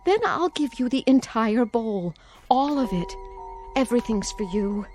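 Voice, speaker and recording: Fretful doting auntie, radio drama, lively higher-pitched delivery, sugary coaxing, jittery repetition. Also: female voice, unhurried, music in the background. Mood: flustered. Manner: sweetly coaxing, nervously repeating herself. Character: anxious, doting aunt placating a feared nephew.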